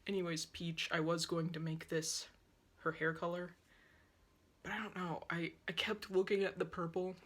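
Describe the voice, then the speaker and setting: A woman's voice: lowish voice, flat delivery, normal speed, fairly bright tone. A young woman in her twenties chatting casually to her phone camera while painting at home, sounding wheezy from a sore, dry throat. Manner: chatty, self-deprecating, talks while painting. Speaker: art vlogger. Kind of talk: rambling casual chat. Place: small yellow room at home, indoors. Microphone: phone on a tripod, close-up.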